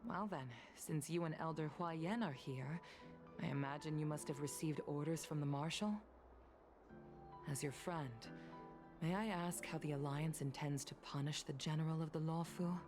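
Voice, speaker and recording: An actress voicing a dignified retired pilot in a video game, courteous and composed, speaking formally to an old comrade. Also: female voice, low voice, moderate pace, close to an everyday voice. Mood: concerned. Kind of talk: scripted video game voice acting. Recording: music under the voice.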